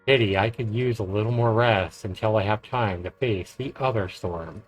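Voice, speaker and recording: A man streaming a visual novel, reading its narration aloud in a measured storytelling way with casual commentary. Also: lowish voice, rough recording. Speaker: game streamer. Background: game music.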